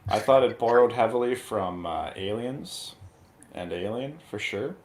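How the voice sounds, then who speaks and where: A man speaking as a guest reviewer, giving his opinions on films informally and pausing as he thinks. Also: on the low side, expressive, darkish tone. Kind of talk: casual film discussion.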